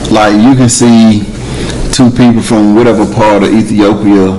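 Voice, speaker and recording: A man, talking in an animated, emphatic way in a casual discussion. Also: normal speed, noisy background. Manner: animated, emphatic, rising pitch when arguing.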